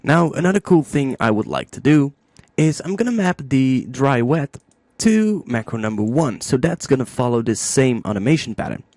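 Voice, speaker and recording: A man with a mellow, relaxed tone walks through a software tutorial. His pitch moves in a lively way as he thinks aloud, casual and informal. The voice is recorded dry, with no sense of room around it. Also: moderate pace, no room sound. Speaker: music production tutorial host.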